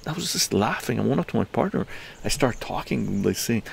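An older man from Canada, livestreaming from his outdoor patio, telling a story in an animated, laughing way with crude language. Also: a little fast, vocal fry, slight background noise. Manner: animated, laughing, swearing, acts out quotes in higher voices. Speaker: livestream host. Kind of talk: casual storytelling to a live chat.

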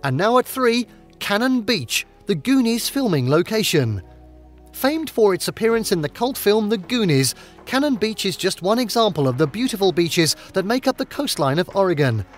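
A man with a high, bright-sounding voice reads a scripted travel narration. His pitch swings widely as he delivers an upbeat countdown, with soft music playing faintly underneath.

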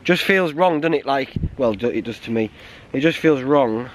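An English man in his thirties talking to camera outdoors on a windy mountain, subdued and halting with many restarts. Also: medium pitch, expressive, rough recording. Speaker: hiking vlogger. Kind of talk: casual talk to camera. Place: outdoors on a windy winter mountain, sheltering behind a stone wall. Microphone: camera held close at arm's length. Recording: wind noise on the mic outdoors.

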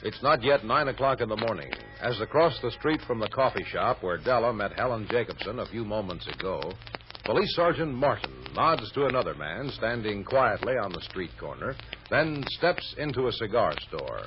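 A man's voice: lowish voice, expressive, normal speed. An American man narrating a scripted old-time radio serial in a wry, conversational storyteller's manner. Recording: dull, noisy vintage radio recording.

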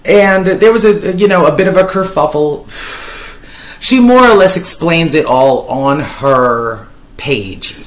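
A woman from Lebanon in her thirties, talking casually to her webcam from a sofa, chatty and wordy with a dry, ironic streak and plenty of fillers. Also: extremely low pitch. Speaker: YouTuber. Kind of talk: casual commentary vlog. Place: living room, on a sofa. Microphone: webcam at desk distance. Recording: echoey room.

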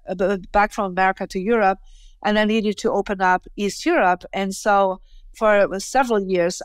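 A middle-aged woman speaks over a video call with a smooth, clear voice and a mid-range pitch. She talks in a chatty, easy way, dropping "you know" and "right?" as she explains. The sound is dry and close, picked up by earphones in a room at home. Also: dry recording. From Belgium. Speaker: guest, feng shui teacher. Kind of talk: conversational interview answers. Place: bright indoor room at home. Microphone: earphone headset on a video call.

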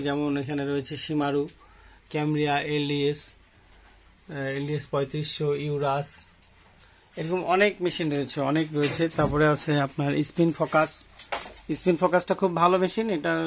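A man with a Bangladeshi accent informally showing products as a shop seller, explaining and repeating himself, recorded on a phone in a small shop. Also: fairly high pitch. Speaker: fishing tackle seller. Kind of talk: informal product showcase for customers. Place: small indoor fishing tackle shop. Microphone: handheld phone near the speaker. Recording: noisy phone recording with clicks.

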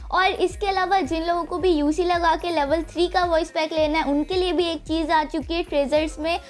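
A young woman with an Indian accent, hosting a gaming channel and explaining a game event step by step to viewers. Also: very high voice. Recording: voice-over with music underneath. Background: background music.

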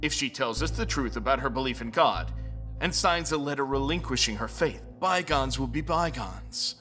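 A man's voice: medium pitch, animated, moderate pace, pushed and bright, soft background music. A man playing a menacing, domineering police chief in a dubbed stage drama, commanding and interrogating. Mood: stern, threatening.

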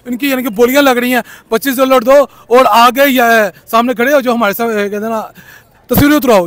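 A man's voice: extremely high pitch, a bit bright, dry recording. A young man ranting heatedly in a street vox pop at night, talking into a handheld mic. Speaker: interviewee. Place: city street at night, outdoors.

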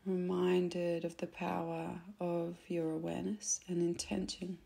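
Woman, meditation guide, low even pitch, soft and breathy at phrase ends, gentle instructions with pauses. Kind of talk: soothing guided meditation narration.

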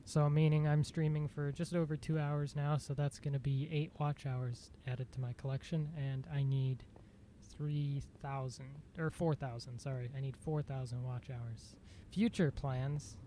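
Monotone man chatting on a game stream. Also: medium pitch, measured pace, hardly any pauses, slight background noise. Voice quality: monotone, dry delivery. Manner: rambling, with fillers and self-corrections. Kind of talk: casual live-stream chat.

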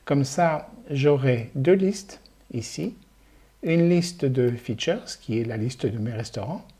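An older man teaching programming, calmly explaining each step of a screen-recorded coding tutorial. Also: medium pitch, slow, slightly rough.

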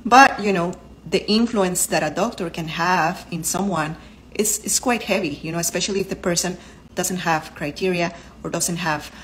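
A Spanish-accented woman in her thirties speaks earnestly to the camera from her living room and explains things in a casual, direct way. Her voice sits a little low for a woman. A faint hum of the room is heard behind her. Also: normal speed, rough recording. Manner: earnest, explanatory, lists terms one by one. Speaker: vlogger and doctor. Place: home living room, indoors.

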